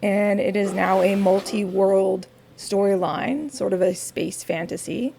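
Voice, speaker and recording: A woman with a raspy voice, talking softly and unhurriedly through a quiet crafting vlog in her home studio, with wry asides. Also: medium pitch, fairly even intonation, a little slow, a little hiss or hum. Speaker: crafting vlogger.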